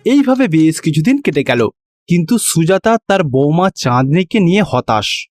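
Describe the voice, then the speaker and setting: A man tells a cartoon moral story in a high voice. The sound is dry and close, and the delivery is scripted narration. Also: sing-song, moderate pace, no echo.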